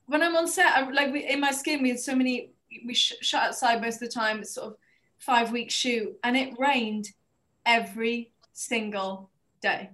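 A woman, a TV writer on a panel, telling stories in a lively, laughing way. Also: a little high, moderate pace. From Wales. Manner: animated, laughing storyteller. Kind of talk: casual panel talk.

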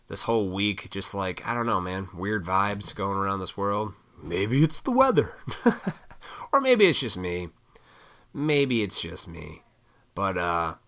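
A man in his thirties rants off the cuff, swearing and wandering between points. His voice sits low but comes out hard and pushed, with a froggy throat he keeps clearing. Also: pushed and bright. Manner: profane, opinionated, rambling. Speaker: vlogger. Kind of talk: rambling casual rant to camera.

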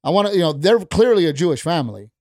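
A man's voice: fairly high pitch, sing-song, quick pace, a little mellow, no echo, clean studio sound. A middle-aged man, a stand-up comedian and podcast guest, talking bluntly and heatedly in casual chat, with plenty of profanity. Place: podcast studio.

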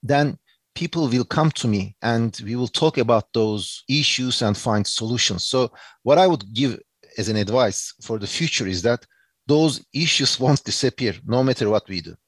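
A man's voice: medium pitch, animated, moderate pace, breathy edge, no echo. A man from Turkey with a Turkish accent, giving earnest, reflective answers as an interview guest, with many fillers and restarts.

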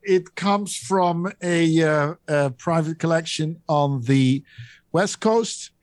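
A man's voice: on the high side, slow pace, dry recording, clean studio sound. A man with a Dutch accent, an auction house specialist explaining an artwork in an interview, hesitating often with many ums and restarts. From Netherlands.

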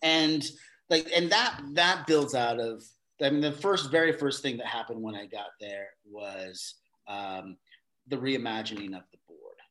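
A man's voice: mid-range voice, a little slow, poor audio. Man musing aloud in a casual interview. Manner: thinks aloud with many restarts and fillers, animated bursts. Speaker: guest, theater company facilitator.

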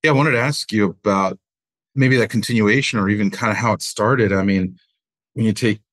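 A man's voice: lowish voice, a little fast, fairly bright tone, no room sound. A man co-hosting a podcast, asking his guest friendly, curious questions. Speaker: podcast co-host. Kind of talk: interview questions.

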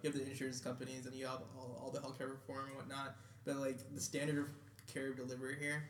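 A young man in casual chat with friends, talking fast and laughing as he tells stories, recorded from a distance in an echoey room. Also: medium pitch. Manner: fast, animated, laughs at his own stories.

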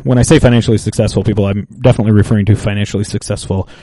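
A man's voice: fairly low pitch, fairly even intonation, mellow, no echo, rough recording. A man co-hosting a casual faith and finance chat, thinking aloud with many fillers and restarts. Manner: thoughtful, halting, with restarts and fillers. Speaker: podcast co-host.

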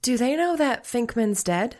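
A woman's voice: a little high, expressive, a little slow, a little mellow. A woman playing an anxious, hesitant hotel front desk clerk in an audio drama. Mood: worried. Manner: hesitant, worried.

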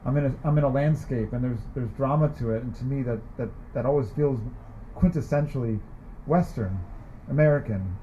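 A man from California introducing a fellow poet in a chatty, halting way, with many fillers and restarts. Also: medium pitch, warm tone, a little hiss or hum. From Sacramento, California, US. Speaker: poet at a reading. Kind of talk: casual introduction and poetry reading. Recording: muffled lo-fi recording.

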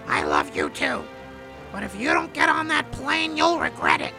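Guy doing moody old-movie club boss parody, gravelly, over the top, music behind. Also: very high voice, wildly swooping pitch, normal speed, heavily acted. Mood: melodramatic farewell. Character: brooding, melodramatic male nightclub owner in romance parody. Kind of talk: spoof of 1940s film acting.

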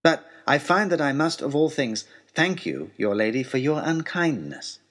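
A man playing an earnest, eager young gentleman adventurer in an audio story, narrating a letter in a formal, wordy, wry way with deliberate pauses. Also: fairly high pitch, big pitch swings. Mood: earnest, happy.

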